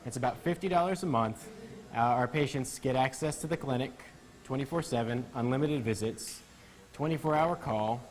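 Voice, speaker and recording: A man's voice: mid-range voice, normal speed. Male doc on a tv interview, plain mid voice, laying things out step by step. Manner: explanatory, steady. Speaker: physician guest.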